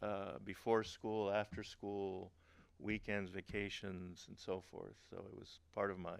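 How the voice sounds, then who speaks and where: A middle-aged man from Honduras speaks at a webinar, his low voice gravelly yet smooth and resonant. The sound is dry and close. He talks haltingly, with many fillers and restarts and the odd humorous aside. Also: little pitch movement, no echo. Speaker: government agency speaker, veteran. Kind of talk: webinar presentation.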